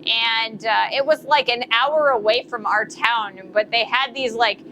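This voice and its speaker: A woman's voice: fairly high pitch, measured pace, pushed and bright, slight background noise, poor audio. A woman in her late thirties chatting casually on a live stream while forging, rambling with many fillers and self-corrections. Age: 30s. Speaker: blacksmith streamer. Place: forge workshop.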